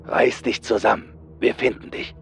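A man's voice: mid-range voice, little pitch movement, moderate pace, creaky, fairly bright tone, faint music behind, pitched above the performer's own voice. A man voicing an authoritative male astronaut commander in a horror audiobook, giving urgent, clipped orders. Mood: firm, calming. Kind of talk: dramatised horror audiobook dialogue.

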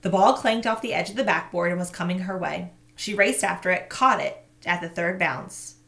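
A woman's voice: normal speed, creaky. A woman in her thirties, a teacher, reading a children's novel aloud at home into a laptop webcam, lifting her voice for the characters' lines. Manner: expressive, pitches up sharply for characters' shouted lines. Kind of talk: children's book read-aloud. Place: small home room indoors. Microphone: laptop webcam mic.

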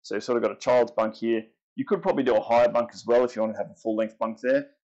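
A young Australian man giving a relaxed, chatty walkthrough of his timber boat, pointing out features as he moves through the cabins. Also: medium pitch, quick pace, dull audio. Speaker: boat owner giving a tour. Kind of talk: casual walkthrough tour. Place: inside a timber boat's cabins.

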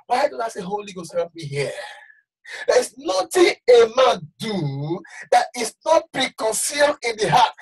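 A man preaching a sermon with fervor, shouting one moment and dropping to hushed warnings the next. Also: very high voice, slightly rough. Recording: voice from far off the mic.

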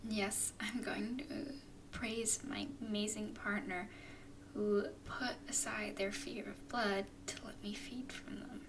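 Woman as a slow, whispering vampire girlfriend. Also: medium pitch, flat delivery, recorded from a distance, background noise. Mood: caring, proud. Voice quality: breathy, mostly whispered. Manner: soothing, affectionate, unhurried. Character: tender, doting female vampire girlfriend comforting her nervous partner. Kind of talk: ASMR roleplay.

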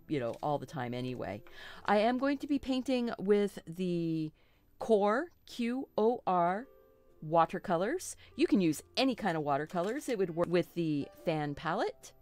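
A middle-aged woman with a slightly froggy voice, hosting a relaxed live painting lesson and chatting reassuringly with little asides and self-corrections. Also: fairly low pitch, moderate pace, soft background music. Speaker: art teacher hosting a live stream.

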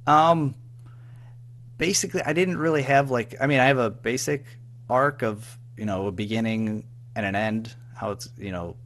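A man speaking as an interview guest in casual chat, hesitant, halting and self-effacing as he talks about his own work. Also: medium pitch, a bit bright. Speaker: guest, audio drama writer and producer.

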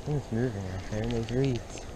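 A young man narrating casually to viewers while fishing outdoors, with relaxed, muttered asides, recorded on a head-mounted camera. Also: mid-range voice, moderate pace. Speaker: fishing vlogger. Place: outdoors by a lake and river. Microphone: head-mounted action camera. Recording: noisy outdoor action-camera audio. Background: outdoor ambience.

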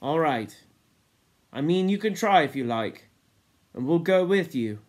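A man's voice: on the high side, lively intonation, unhurried, voice pushed up. A man voicing a firm, stubborn boy leader in a storybook reading, given to short emphatic commands.

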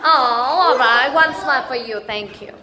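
A woman helping in a children's English class, giving quick prompts and simple directions to the kids. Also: high-pitched, a crowd in the background. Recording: echoey classroom, loud noise.

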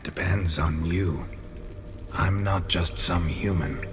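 صياد وحوش متعبًا بصوت منخفض خشن. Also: male voice, takes it slowly, over music. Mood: grim, persuasive. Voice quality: low, gruff. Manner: restrained, laconic. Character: weary, stoic male monster hunter, dry and blunt. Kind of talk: dramatic video game acting.

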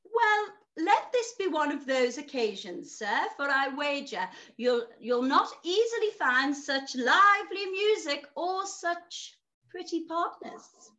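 A middle-aged woman playing an excitable, effusive mother in a period radio play. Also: sits high, slow pace, heavily acted. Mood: coaxing. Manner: effusive, dramatic, breathy laughs and gasps. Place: small room at home, indoors. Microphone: video call.

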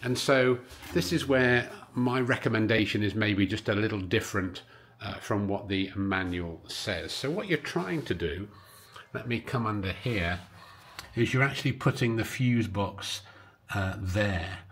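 A man in his fifties hosting a DIY vlog from his garage, explaining things casually with frequent pauses on a lapel mic. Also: lowish voice, expressive, fairly bright tone, slight background noise. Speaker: host of a DIY car-building vlog. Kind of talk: casual instructional talk to camera. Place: home garage. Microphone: clip-on lapel mic.

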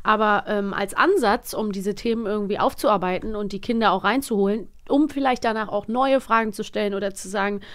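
A woman's voice: medium pitch, a little fast, few pauses, a bit bright, clear, resonant tone. A woman in her thirties co-hosting a podcast, chatting casually as she reviews audio dramas. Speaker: podcast co-host.

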